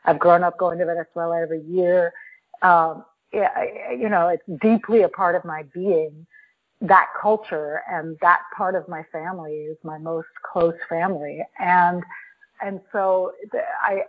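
A woman guest on a podcast telling a personal story in a reflective, halting way, with many restarts. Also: lowish voice. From New York. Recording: tinny phone-line audio.

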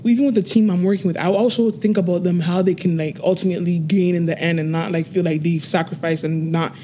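A woman in her twenties, a guest on an interview, laughing often and speaking slowly and earnestly. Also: on the low side, steady tone, dark tone. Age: 20s. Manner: laughs often, slow and earnest when talking goals. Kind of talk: casual interview chat.

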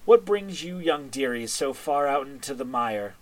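A man voicing a sweet, doting, motherly witch sister who welcomes guests gently. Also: mid-range voice, expressive, normal speed, close to an everyday voice, low-quality recording.

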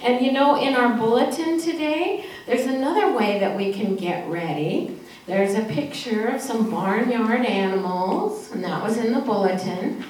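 A woman's voice: a little high, moderate pace, darkish tone. A woman speaks simply and encouragingly as she leads a children's message at church, asking questions and affirming answers. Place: church, during a service. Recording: echoey church, distant mic, faint noise.

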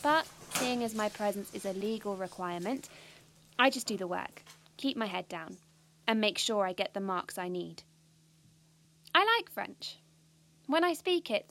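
Bookish British teenage girl, anxious yet self-assured; mid-pitched, precise, confiding audio drama monologue. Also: a bit bright. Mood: matter-of-fact, self-assured, then keen, hopeful.

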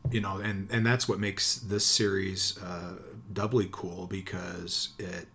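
A low-voiced man hosting a book podcast, talking in a relaxed, halting way full of little fillers. Faint noise hums behind him. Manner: relaxed, hesitant with many uh fillers. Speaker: podcast host. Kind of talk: interview podcast.

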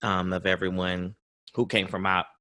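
Lowish-voiced male podcast co-host, dry sound. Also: little pitch movement, normal speed, sharp tone, dry recording. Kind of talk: casual podcast chat.

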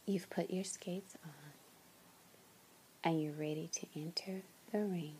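A woman leading a guided meditation in a soft, breathy, largely whispered voice, soothing and gentle, with long pauses. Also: fairly low pitch, fairly even intonation, a little hiss or hum.

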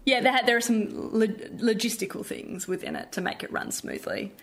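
A woman's voice: on the high side, huge pitch swings, a little fast, gravelly. A woman with an Australian accent chatting casually and reflectively as a theatre director being interviewed.

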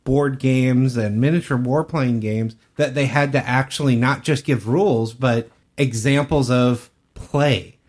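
A man's voice: medium pitch, fairly even intonation, measured pace, few pauses, a little mellow. A man co-hosting a podcast in casual conversation, speaking with enthusiasm. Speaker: podcast co-host. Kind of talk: casual chat.

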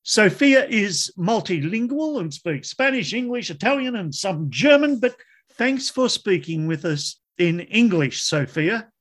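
A man's voice: high voice, sing-song, a little slow, fairly bright tone. Upbeat man hosting a science interview. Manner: enthusiastic, upbeat introductions. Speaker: podcast host. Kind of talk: scripted show intro and interview questions.

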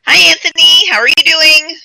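A woman's voice: very high-pitched, steady tone, moderate pace, creaky, pushed and bright, rough recording. A woman hosting an interview show, gushing and enthusiastic as she welcomes a guest. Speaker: show host.

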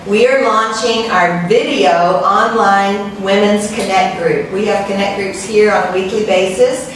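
A woman co-pastor hosting a church women's group discussion, encouraging and affirming as she talks. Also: mid-range voice. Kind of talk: warm church talk. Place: church resource center. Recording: echoey room from a distance, noisy.

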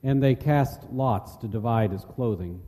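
Very dark-voiced man reading scripture. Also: medium pitch, a little slow. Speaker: narrator and scripture reader. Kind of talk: solemn dramatic scripture reading. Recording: long reverb of a large hall.

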